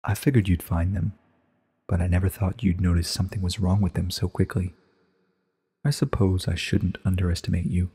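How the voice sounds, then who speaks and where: A man acts out an ancient, regal pony queen in a storybook reading. The voice is low and husky, measured and solemn, with a guarded calm. A long echo hangs on it. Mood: resigned, measured. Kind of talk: dramatic reading of a short story.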